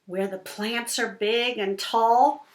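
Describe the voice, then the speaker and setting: A woman voicing a small, boastful fish thief in a picture book, confiding in a deliberate storybook delivery. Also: fairly high pitch, steady tone. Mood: smug. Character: small sneaky fish, boastful, self-assured hat thief. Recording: roomy, echoing indoor sound.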